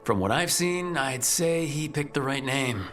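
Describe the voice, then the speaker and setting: A man's voice: mid-range voice, animated, measured pace, fairly bright tone, faint music behind. An actor playing a direct, wry and concerned male party leader in a video game, asking things straightforwardly. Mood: wry, reassuring. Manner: probing, straightforward, lightly wry. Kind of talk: video game dialogue acting.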